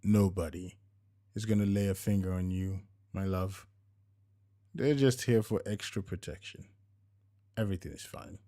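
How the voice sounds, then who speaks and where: Middle-aged husband for a story, read by a man: low voice, soft-spoken, tender, a little awkward. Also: normal speed, long pauses. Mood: tender, reassuring. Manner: gentle, soft murmuring.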